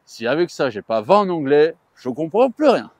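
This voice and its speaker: A man's voice: a little high, very animated, normal speed, a little mellow, dry recording. A man co-hosting a chatty vlog, talking in a playful, joking way. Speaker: vlog co-host. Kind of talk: chatty theme park news vlog.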